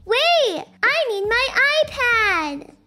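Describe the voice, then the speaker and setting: A woman voicing a needy, eager toddler daughter in a family roleplay cartoon, with childlike drawn-out words. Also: extremely high pitch, very animated, voice pushed up, full character voice. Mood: whiny.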